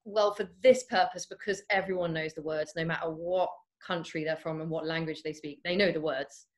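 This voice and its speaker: Playful, low-voiced woman host. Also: fairly even intonation, moderate pace. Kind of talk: casual interview chat.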